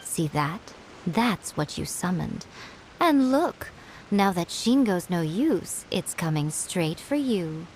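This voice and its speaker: A woman voicing a sly fox-spirit shrine priestess in a video game, with a silky, mocking, unhurried delivery. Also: on the low side, sing-song, a little hiss or hum, full character voice, poor audio. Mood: commanding.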